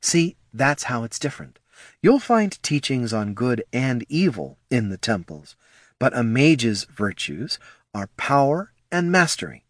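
A man's voice: medium pitch, sing-song, measured pace, no echo, studio quality, pitched above the performer's own voice. A man voicing an indignant, flustered female mage with a defensive manner in a fantasy audiobook.

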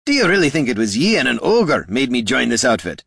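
A man doing a gruff, blunt hero. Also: medium pitch, huge pitch swings, brisk, a bit bright, no echo, clean studio sound, voice pushed up, heavily acted. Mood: defiant. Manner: growling, plainspoken.

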